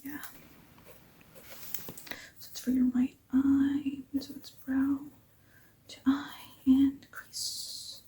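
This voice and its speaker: A tired woman whispering softly through an ASMR face-measuring roleplay, yawning now and then and apologizing often. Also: high voice, monotone, very slow, pauses often, poor audio. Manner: soft whispering, apologetic asides.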